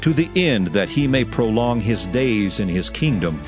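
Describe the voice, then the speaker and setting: An actor voicing a solemn prophet proclaiming ancient law in a declamatory, emphatic dramatized scripture reading. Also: male voice, lowish voice, animated, normal speed, over music, close to an everyday voice. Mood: solemn, commanding. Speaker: scripture narrator.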